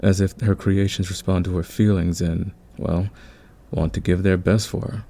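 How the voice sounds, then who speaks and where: Male thief leader acted by a man: low, gravelly, considerate and measured, audio roleplay, slight noise. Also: steady tone, mellow. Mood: admiring, calm. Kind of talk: ASMR audio roleplay acting.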